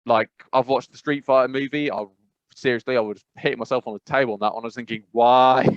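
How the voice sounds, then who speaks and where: A man's voice: medium pitch, steady tone, fast, no room sound. Man, casual podcast chat, rambling with fillers, dry close sound, slightly dull. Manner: rambling, many fillers and restarts. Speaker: podcast co-host.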